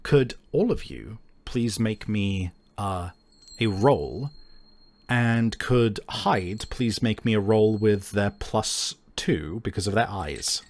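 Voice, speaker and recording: A man narrating a tabletop game as game master, improvising and often halting to search for words. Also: fairly low pitch, expressive.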